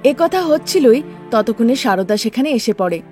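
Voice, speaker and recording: A woman's voice: a little high, expressive, normal speed, darkish tone, faint music behind. A woman narrating a scripted story as a storyteller.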